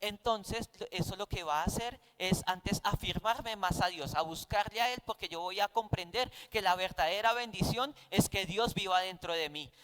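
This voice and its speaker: A man's voice: high-pitched, moderate pace, few pauses, fairly bright tone, rough recording. A man with a Colombian accent teaching a Bible class in a didactic, conversational way, often posing rhetorical questions.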